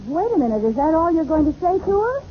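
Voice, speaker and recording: A woman's voice: extremely high pitch, sing-song, fast. A woman playing a skeptical, wisecracking suburban wife in a wry 1940s radio comedy style. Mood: indignant. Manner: wry, sarcastic. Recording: muffled, very noisy old radio recording.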